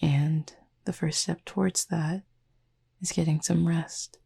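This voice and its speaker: A woman playing a gentle, composed Cupid roommate in a sleep-aid ASMR roleplay, speaking softly and reassuringly in a breathy, creaky near-whisper with formal wording. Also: sits low, steady tone, slow pace, heavily acted. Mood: calm, reassuring.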